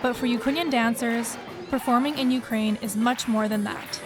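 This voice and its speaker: A young woman from Canada, a dancer, reading scripted narration in a smooth, even way. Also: on the high side, normal speed. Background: music.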